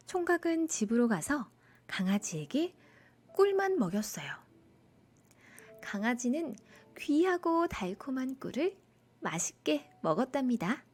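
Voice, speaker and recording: A woman narrating a children's bedtime folktale in an expressive storytelling style. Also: high voice, unhurried, breathy edge.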